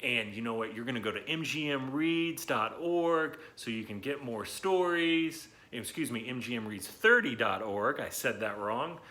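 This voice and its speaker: Begeisterter Kinderbuch-Vorleser mit heller, kräftiger Stimme. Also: male voice, medium pitch, expressive, normal speed, few pauses, bright, forceful tone. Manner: enthusiastic, encouraging, addresses children directly. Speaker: children's story reader and host. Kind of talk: children's read-aloud and talk to young viewers.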